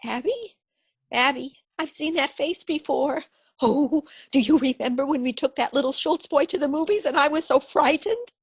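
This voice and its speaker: A woman as a high-pitched fluttery elderly aunt. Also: normal speed, no echo. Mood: frightened. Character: sweet, timid elderly aunt, fluttery and polite. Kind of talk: stage comedy radio acting.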